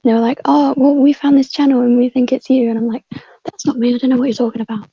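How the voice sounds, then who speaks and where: A woman's voice: sits high, level tone, fast talker, mellow, husky, dry recording. A woman chatting casually as a podcast panelist, recorded with dull, lo-fi microphone sound. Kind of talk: casual chat.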